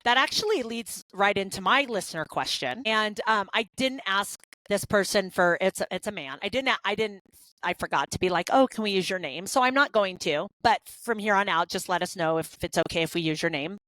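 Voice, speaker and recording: A woman's voice: mid-range voice, expressive, fairly quick, a bit bright, no echo, poor audio. A woman in her thirties co-hosting a podcast, talking candidly in a casual chat with many fillers and restarts. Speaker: podcast co-host.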